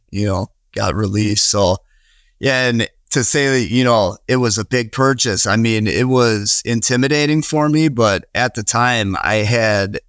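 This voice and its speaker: A man in his thirties with a Wisconsin accent, speaking as an earnest podcast guest. His voice sits fairly low, and he rambles, restarting sentences and filling gaps with "you know." The sound is close and dry, with no room echo. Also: fairly bright tone. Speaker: podcast guest, real estate investor. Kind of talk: casual conversational interview.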